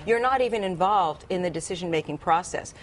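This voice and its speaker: A woman's voice: fairly low pitch, lively intonation, brisk. A woman speaking as a psychologist guest in a news interview, explaining things and repeating phrases for emphasis. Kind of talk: expert commentary in a news interview.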